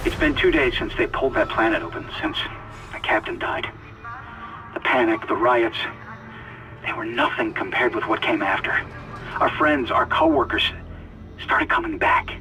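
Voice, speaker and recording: A man's voice: medium pitch, normal speed, long pauses, bright, forceful tone, soft background music, lightly acted, poor audio. Man acting a strained, intense spaceship engineer. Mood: grim, weary. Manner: strained, anxious, dramatic. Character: shaken acting chief engineer on a besieged spaceship. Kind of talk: video game audio log acting.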